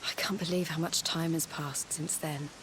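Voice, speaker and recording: A woman playing a low-voiced, poised young princess. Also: steady tone, moderate pace, noisy background, close to an everyday voice. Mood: wistful. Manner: composed, well-spoken. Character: poised, earnest young princess, quietly wistful. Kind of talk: video game cutscene voice acting. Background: music.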